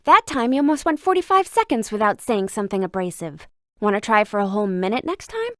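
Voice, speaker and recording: An actress voicing a brisk, learned museum curator in a video game, speaking in an articulate, scholarly and sardonic way. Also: female voice, medium pitch, very animated, pushed and bright, no echo, clean studio sound. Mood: dryly annoyed. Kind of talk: scripted video game dialogue.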